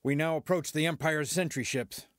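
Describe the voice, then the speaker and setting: An actor playing a cocky, boastful starship pilot, swaggering through archaic verse in an audio drama. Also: male voice, on the high side, moderate pace, dry recording, studio quality, natural voice. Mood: urgent, commanding.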